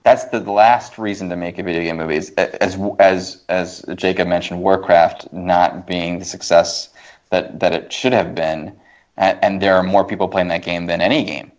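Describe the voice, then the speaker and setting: Low-voiced man, dull lo-fi podcast sound. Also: steady tone, moderate pace. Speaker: podcast guest. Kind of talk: casual film podcast discussion. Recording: dull, lo-fi voice track.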